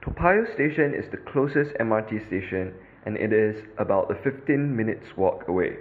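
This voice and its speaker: A man's voice: fairly low pitch, moderate pace, phone-quality sound, a little hiss or hum. A man with a Singaporean English accent, narrating a scripted audio tour as a podcast host, in an instructive tone with the odd filler. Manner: instructive, lively, with occasional fillers.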